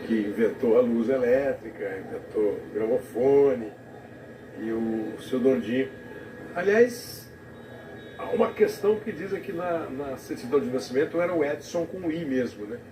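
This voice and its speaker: A male TV commentator reflecting on a live broadcast, talking haltingly with many restarts. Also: mid-range voice, animated. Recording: TV audio recorded off the air from a distance in an echoey room, noisy.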